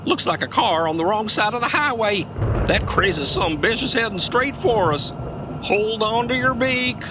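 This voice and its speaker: A man's voice: sits high, expressive, normal speed. A man playing a cocky, dim, macho American trucker and amateur detective in a comic audio drama, folksy and self-assured. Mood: alarmed. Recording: noisy vehicle and road ambience.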